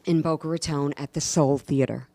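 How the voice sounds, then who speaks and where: A woman from New York, a comedian, talking casually as a guest on a radio show, lively and laughing with quick asides. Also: low-pitched.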